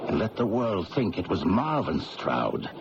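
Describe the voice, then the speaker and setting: A man's voice: sits low, big pitch swings, unhurried, very noisy, full character voice. An actor playing a roguish, hard-drinking man in a radio drama, breezy, wry and unrepentant about being caught as an impostor. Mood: confessional. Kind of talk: old-time radio drama acting. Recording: dull radio broadcast with loud music.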